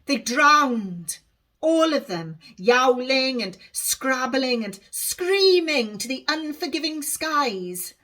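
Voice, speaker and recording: A woman voicing an excitable, boastful young Welsh girl in a storybook, eager and dramatic as she tells tall tales. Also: sits high, slow, pitched above the performer's own voice, full character voice. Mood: dramatic boasting.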